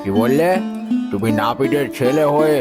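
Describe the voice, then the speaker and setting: A man's voice: medium pitch, normal speed, natural voice. A man voicing a village character in a cartoon folktale, with theatrical, questioning delivery. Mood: challenging. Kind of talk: cartoon moral-story voice acting. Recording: loud music under the voice.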